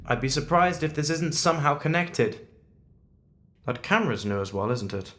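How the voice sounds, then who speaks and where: A male actor playing a shrewd, commanding otherworldly investigator in an audio drama, measured and articulate. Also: mid-range voice, expressive, fairly bright tone, lightly acted. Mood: musing.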